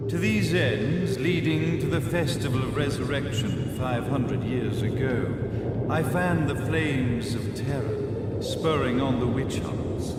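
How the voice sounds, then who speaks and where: An actor playing a pompous, calmly megalomaniac sage in a video game cutscene, speaking in measured, dramatic pauses. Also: male voice, medium pitch. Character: grandiose villainous sage, calm megalomaniac executive. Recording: echoey hall reverb under loud music.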